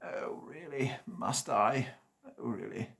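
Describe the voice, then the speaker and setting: A man's voice: mid-range voice, big pitch swings, unhurried, gravelly, voice pushed up. A man voicing a lazy, fussy cat that sighs and whines about having to do anything. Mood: reluctant. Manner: sighing, reluctant, whiny. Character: lazy fussy cat who hates getting wet.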